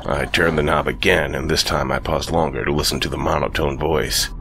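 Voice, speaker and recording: A man narrating a first-person horror story in a slow, brooding scripted read. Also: a bass voice, steady tone, creaky.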